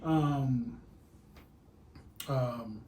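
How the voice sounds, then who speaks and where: A man guesting on a casual podcast, talking in a halting way with many fillers and restarts. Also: mid-range voice, warm tone. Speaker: guest, agency owner. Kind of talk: casual conversation. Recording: echoey room with faint noise.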